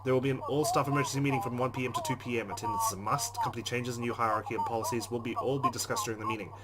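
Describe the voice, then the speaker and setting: A man's voice: medium pitch, steady tone, rapid-fire, very noisy. A man hosting a let's play, reading visual novel narration aloud in a dramatic way. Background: game music.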